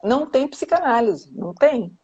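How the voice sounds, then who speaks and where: A woman's voice: high-pitched, big pitch swings, moderate pace, mellow, husky. A woman psychoanalyst, talking in a casual, hesitant way with many restarts and tag questions on a live video call. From Brazil. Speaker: psychoanalyst guest. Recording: dull lo-fi video call audio.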